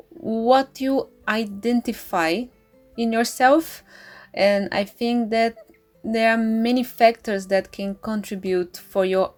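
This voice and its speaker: A woman in her thirties from Brazil, whose first language is Portuguese, answers interview questions carefully. She searches for her words at times. Faint music sits under her voice. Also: a little high. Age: 30s.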